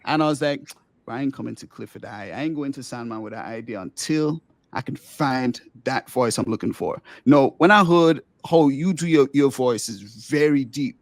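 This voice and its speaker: A middle-aged man from Grenada with a light, somewhat squeaky voice, recorded close and dry with no room sound. He hosts the chat with eager, rambling talk and often starts over mid-sentence. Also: moderate pace, no echo. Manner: enthusiastic, rambling, many restarts. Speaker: podcast host and musician. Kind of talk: casual podcast interview.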